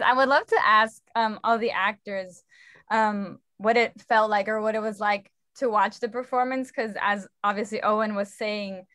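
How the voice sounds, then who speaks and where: A young woman speaks casually as a director on an online panel. Her voice is medium in pitch, with little room echo on the call. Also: a bit bright, clean studio sound. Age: young adult. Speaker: play director. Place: indoors on a video call. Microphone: video call.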